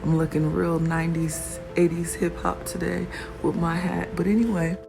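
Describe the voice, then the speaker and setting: A raspy woman vlogging casually about her own work, admiring it out loud and laughing often. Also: on the low side, level tone, normal speed, poor audio. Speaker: fashion designer vlogger. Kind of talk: casual show-and-tell vlog. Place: workshop in Arizona. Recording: music playing behind the voice.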